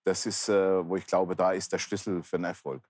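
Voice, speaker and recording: Male interview guest, fifties, very low register, earnest plain-spoken testimony, dry sound. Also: little pitch movement, fairly quick, a little mellow, dry recording. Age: 50s. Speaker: talk show guest, pastor. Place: large indoor bar hall, seated interview.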